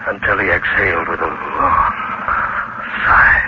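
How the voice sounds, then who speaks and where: A man narrating a scripted 1940s radio horror tale in a dramatic, suspenseful manner with deliberate pauses. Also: low-pitched. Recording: muffled, heavily noisy old radio recording.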